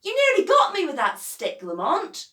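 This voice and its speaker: A woman's voice: very high voice, huge pitch swings, moderate pace, sharp tone, voice pushed up, full character voice. A woman voicing a dramatic young girl in a children's story, complaining with exaggerated emphasis. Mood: indignant yelling. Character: Beaky, loud dramatic breathless young girl. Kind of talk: children's audiobook character voice. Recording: echoey room, distant from mic.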